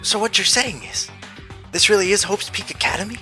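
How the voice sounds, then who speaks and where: A man's voice: high voice, brisk, bright, forceful tone, big-room sound, soft background music. A man voicing an earnest, anxious teenage schoolboy in an anime game fan dub. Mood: worried.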